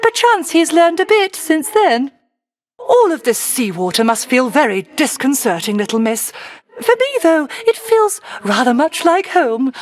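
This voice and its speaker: Casting: dreamy island witch for a game, high voice with swooping melody, doting and genteel. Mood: gracious, pleased. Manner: unhurried, lilting, old-fashioned genteel phrasing. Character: whimsical sorceress aunt living alone on her isle, doting, dreamy. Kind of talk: theatrical video game character acting.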